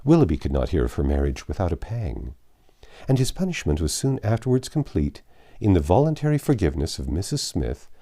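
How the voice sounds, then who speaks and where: A man narrating a classic novel aloud as an audiobook reader, with measured, expressive storytelling phrasing. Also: deep voice.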